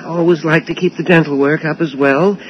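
An actor playing a callous mad-scientist dentist in a radio suspense drama, speaking with genteel, matter-of-fact menace and condescending reassurance. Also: male voice, a little high, steady tone, brisk, close to an everyday voice. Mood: calm, patronising. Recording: dull, noisy old radio drama recording.

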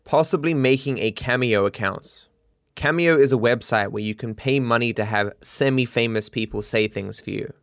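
A man's voice: mid-range voice, normal speed, gravelly, a bit bright, dry recording. Tired man with a cultivated Australian accent. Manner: musing, trailing off with fillers and restarts. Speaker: streamer answering viewer questions. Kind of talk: casual rambling Q&A.

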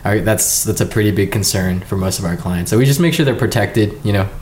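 A man from Texas, a podcast guest in a garage studio, talking in a relaxed, easygoing way with many fillers. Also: lowish voice, brisk, slight background noise. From Texas, United States. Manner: relaxed, easygoing, many ums and you-knows. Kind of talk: casual interview chat.

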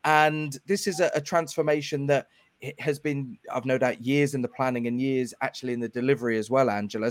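A man's voice: mid-range voice, no echo, studio quality. A man hosting a podcast interview, explaining things at length in a conversational way. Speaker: podcast host.

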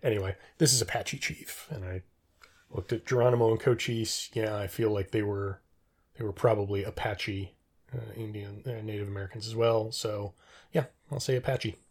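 A middle-aged man in a casual trivia discussion, rambling and thinking out loud as he talks. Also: lowish voice, fairly even intonation, normal speed, breathy edge. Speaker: podcast co-host.

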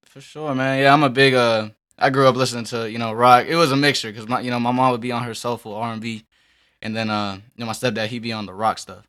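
A young man chatting casually as a musician guest, in a loose, halting, slangy way. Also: medium pitch, steady tone, fairly bright tone, smooth. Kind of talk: casual chat.

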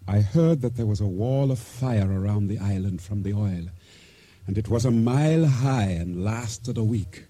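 An actor playing a solemn island boatman, narrating his ordeal at sea in measured, formal, deliberate words for a radio drama. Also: lowish voice, mellow, husky, no room sound. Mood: subdued, somber. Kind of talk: dramatic first-person narration for radio theater. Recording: radio drama with music underscore.

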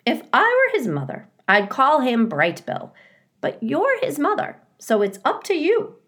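A high, squawking storybook goose, acted out by a woman reader, bossy and blunt. She fusses in motherly fashion, swooping emphatically through her opinions. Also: wildly swooping pitch, a little slow, a bit bright, exaggerated voice. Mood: frank, fussing. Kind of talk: children's storybook character reading.

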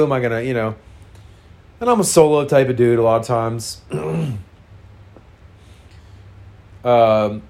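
A man's voice: on the high side, darkish tone. A man with a congested, nasal voice, casually telling a frank, rambling personal story full of fillers.

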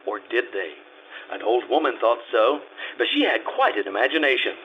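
A man playing a wry, deadpan private detective in old-time radio style. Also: mid-range voice, moderate pace, highs cut off, slight background noise, lightly acted. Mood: teasing narration. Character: wry, hard-boiled male private detective.

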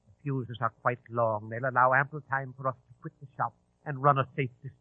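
An actor playing a ruthless, commanding gang leader who barks orders in a rough voice, in 1940s radio drama. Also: male voice, medium pitch, fairly even intonation, a little fast, no room sound, natural voice. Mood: menacing, commanding. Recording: dry, muffled old radio broadcast.

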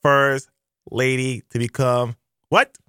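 A man's voice: mid-range voice, flat delivery, slow, sharp tone, smooth, no echo. A man hosting a casual radio talk show from a studio, playful and joking, cheering his guest on.

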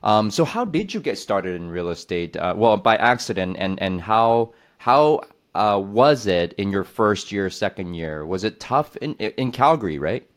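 Low-voiced guy hosting a podcast, lots of uh's, gushes over his guest. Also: very animated, measured pace, few pauses. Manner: effusive, hesitant with many fillers. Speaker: podcast host. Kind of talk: podcast interview.

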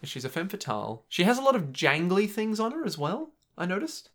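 A man's voice: sits high, very animated, a little fast. A man, co-hosting a podcast in casual chat, thinking aloud with playful, rambling guesses and lots of fillers. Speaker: podcast co-host.

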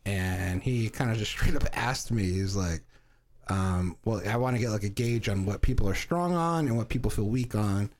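A man's voice: fairly low pitch, moderate pace, a little mellow. A man co-hosting a casual podcast chat, swearing often and joking about his own talents. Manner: swears a lot, boastful and joking. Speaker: podcast co-host.

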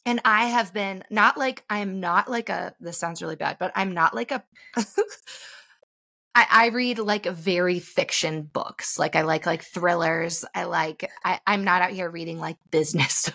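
Chatty woman with a gravelly voice. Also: on the low side, unhurried, bright, forceful tone. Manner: gushing, chatty, many "like" fillers. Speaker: podcast co-host; mom in business. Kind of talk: casual chat.

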